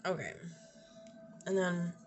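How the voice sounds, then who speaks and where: A young woman with a slightly raspy voice doing a casual tarot reading, thinking aloud, trailing off and restarting her sentences. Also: lowish voice, takes it slowly, soft background music. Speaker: tarot reader. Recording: audible background noise.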